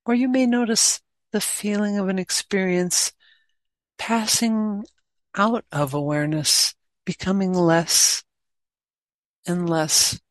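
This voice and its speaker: A woman guiding a meditation as a teacher, speaking gently in short unhurried phrases with long pauses. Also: mid-range voice, no room sound. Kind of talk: guided meditation.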